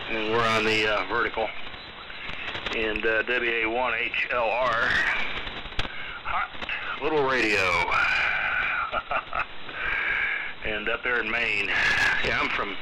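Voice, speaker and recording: Casting: male radio ham from Michigan, casual chat, AM transmission full of loud noise. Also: fairly low pitch, steady tone, slow, pauses often. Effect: AM shortwave radio transmission. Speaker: amateur radio operator. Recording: very noisy AM radio reception.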